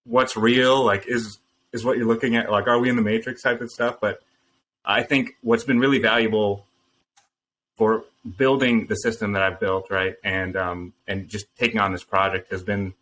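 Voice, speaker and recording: A man talking earnestly and thoughtfully as a founder guest in an interview, pausing with frequent ums. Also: fairly low pitch, flat delivery, a bit bright, low-quality recording. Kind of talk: casual interview answers.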